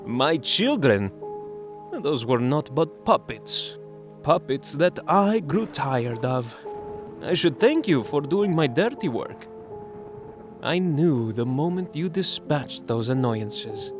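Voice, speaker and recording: A man voicing an ageless, mocking vampire lord in an audio drama, taunting slowly and theatrically. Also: on the high side, big pitch swings. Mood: disdainful. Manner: silky, taunting, slow and theatrical. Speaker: villain. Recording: music score behind the voice.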